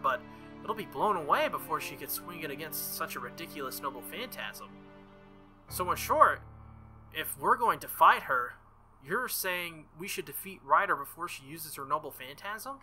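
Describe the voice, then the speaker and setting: Bright, high-voiced man reading a game aloud. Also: sing-song, moderate pace, soft background music. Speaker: video game streamer. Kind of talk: reading visual novel dialogue aloud with playful commentary.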